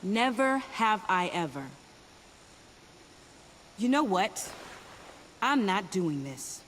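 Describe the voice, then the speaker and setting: A woman's voice: medium pitch, sing-song, slow, fairly bright tone, slight background noise. A woman voicing a defiant, bitter young captive in a video game, blunt and scornful. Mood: refusing.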